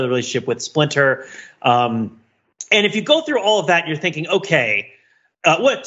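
Guy on a podcast panel, pretty high forceful voice, wordy and emphatic, slightly muffled lo-fi audio. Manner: discursive, emphatic, intellectual vocabulary. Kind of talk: casual analytical discussion.

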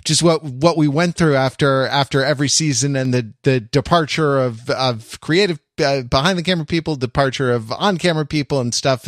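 Halting, higher-voiced man hosting a podcast. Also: a bit bright, no echo, studio quality. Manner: halting, repeats phrases, playful. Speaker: podcast host. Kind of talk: casual TV show discussion.

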